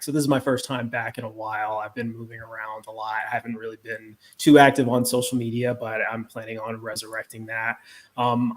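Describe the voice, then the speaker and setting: A man with a mid-pitched, very flat and even delivery. He speaks casually and hesitantly, stays upbeat, and sounds like a former host returning as a guest on a livestream panel.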